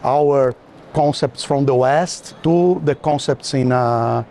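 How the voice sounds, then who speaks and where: A man's voice: mid-range voice, takes it slowly, warm tone. A man in an unscripted, reflective conversation about art, speaking as a guest on a tour. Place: walking through an art fair. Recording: clear background noise of busy fair.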